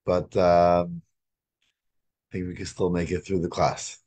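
Hoarse, husky man teaching a class. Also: deep voice, level tone, darkish tone. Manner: halting, repeats phrases for emphasis. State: hoarse, recovering from a lost voice. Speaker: religious teacher. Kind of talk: informal religious class, explaining step by step. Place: indoors, home study with bookshelves. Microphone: video call microphone.

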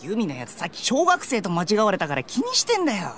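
A woman's voice: medium pitch, wildly swooping pitch, quick pace, fairly bright tone, a little hiss or hum. A woman playing a brash, teasing schoolboy with a clownish, cheeky manner in an audio drama. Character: brash, teasing teenage schoolboy, joking older brother. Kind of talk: Japanese audio drama acting.

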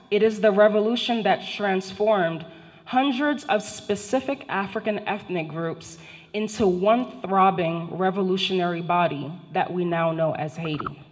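A middle-aged woman whose first language is not English, giving a formal prepared lecture from a panel table into a handheld microphone, speaking directly to the audience. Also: medium pitch. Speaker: guest speaker, professor. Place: large indoor function hall, seated at a panel table. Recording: long hall reverb.

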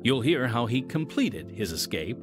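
Highly animated American male podcast host. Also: moderate pace. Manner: theatrical, addresses listeners directly. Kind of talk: scripted dramatic narration. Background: music.

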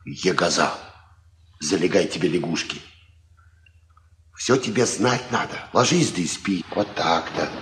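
A man's voice: low-pitched, background noise. An actor playing a kindly old peasant grandfather in a Soviet radio drama, grumbling and muttering in a folksy way. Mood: gruffly indulgent.

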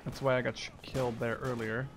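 A man's voice: mid-range voice, level tone, brisk. A man in his twenties giving casual, rambling, sweary gameplay commentary. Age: 20s. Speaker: gaming video creator. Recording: game gunfire and artillery noise. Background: shooter game gunfire and explosions.

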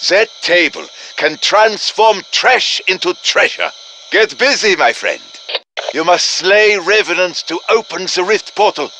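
A man's voice: sits high, measured pace, sharp tone, a little hiss or hum, heavily acted. An actor playing an earnest professor with a German accent, urging on his fighters in dramatic, courtly video game lines. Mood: admiring, then urgent. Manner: dramatic, urgent, courtly encouragement. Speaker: game character voice.

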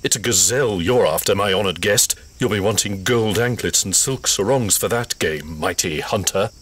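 An actor playing a bitter, drunken trader who talks in a sneering, resentful way. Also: male voice, fairly low pitch, moderate pace, a bit bright, slight background noise, close to an everyday voice.

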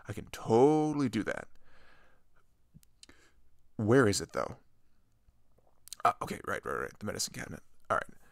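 A man acts out a sweet, shy male best friend in an ASMR roleplay. His voice is lowish with a lot of creak, and he speaks in a halting, flustered, gentle way. The sound is dry and close. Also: dry recording, exaggerated voice. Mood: concerned, eager. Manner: hesitant, stammering, soft-spoken. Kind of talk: ASMR roleplay, intimate one-sided dialogue.

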